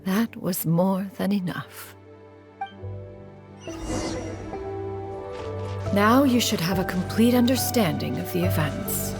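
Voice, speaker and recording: A woman's voice: medium pitch, normal speed, creaky, over music, natural voice. A woman voicing a proud, ancient crane immortal in a game cutscene, speaking with haughty, precise, archaic formality. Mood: solemn, tender. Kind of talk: scripted game cutscene monologue.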